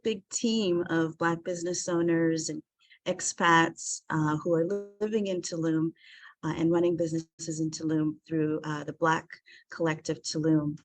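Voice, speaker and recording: A woman from South Africa talks through a presentation on a video call. Her voice sits on the lower side and the sound is dry and close. She is earnest and warm, pausing often on filler ums. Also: no echo. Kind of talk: presentation to an online group.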